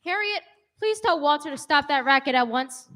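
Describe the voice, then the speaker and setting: Composed, wry schoolteacher played by a woman, high voice, polite and articulate, radio comedy. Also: animated, moderate pace, a bit bright. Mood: reassuring. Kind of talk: old-time radio comedy acting.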